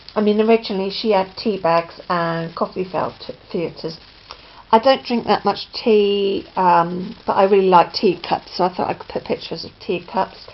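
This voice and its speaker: Chatty woman with a croaky, gravelly voice. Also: medium pitch, dull audio. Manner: chatty, rambling, many ums and self-corrections. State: slightly croaky voice. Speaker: crafting vlogger. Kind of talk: casual show-and-tell. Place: indoors at home, downstairs near a patio.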